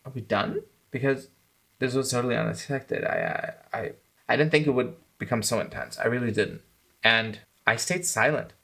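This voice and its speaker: A man's voice: mid-range voice, very animated, normal speed, gravelly, fairly bright tone. A man who hosts a channel, a filmmaker talking thoughtfully about how he made one of his documentaries. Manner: thoughtful, self-critical, grows enthusiastic. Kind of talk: reflective commentary on his own film.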